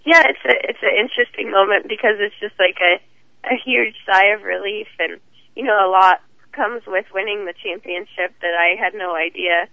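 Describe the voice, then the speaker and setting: A hoarse woman with a cold, talking as the guest in a phone interview, chatty and rambling. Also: medium pitch, moderate pace, dry recording. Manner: chatty, rambling, laughs easily. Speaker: guest champion driver. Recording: tinny phone line.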